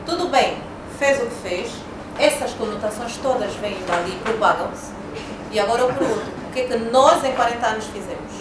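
Woman debating, emphatic, a bit high, far from mic in an echoey noisy room. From Angola. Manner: emphatic, argumentative, repeats words. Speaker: debate speaker. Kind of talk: public debate. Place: hall at a public debate.

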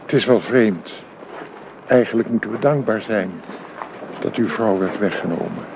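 An actor playing a grave Protestant minister in a radio play, with a measured, oratorical delivery for a funeral. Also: male voice, fairly low pitch, vocal fry. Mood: sober condolence. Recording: radio play recording with faint noise.

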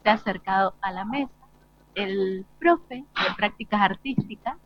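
A woman's voice: mid-range voice, measured pace, a little hiss or hum. Argentine woman hosting, slightly muffled sound. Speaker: interviewer hosting live broadcast. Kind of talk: unscripted live hosting. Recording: dull lo-fi live broadcast sound.

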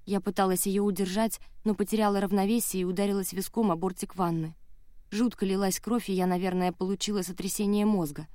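A woman's voice: mid-range voice, steady tone, fairly quick, mellow, no room sound. A woman audiobook narrator reading a dramatic first-person novel, close on the mic in a studio.

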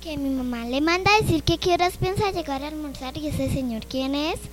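A woman's voice: high voice, a little fast, a little hiss or hum, close to an everyday voice. Girl playing a whiny nosy little sister. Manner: whiny, tattling. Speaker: actor in a youth radio drama.

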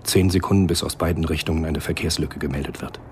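A man narrating a literary radio play in a measured, sombre manner. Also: very deep voice, steady tone, very noisy.

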